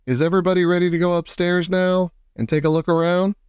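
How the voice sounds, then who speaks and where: A kindly old grasshopper from a children's storybook, put on by a male reader, speaks with hopeful good cheer. The voice is a touch high and sounds close and dry. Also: level tone, quick pace, a little mellow, clear, resonant tone, dry recording, lightly acted. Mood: reassuring. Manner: hopeful, reassuring.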